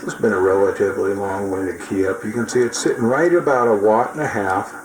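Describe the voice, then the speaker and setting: A man's voice: on the low side, a little fast. Folksy American man, noisy background. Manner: folksy, rambling, colloquial. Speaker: radio repair hobbyist and CB operator. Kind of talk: casual demonstration and CB radio chat. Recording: clear background noise.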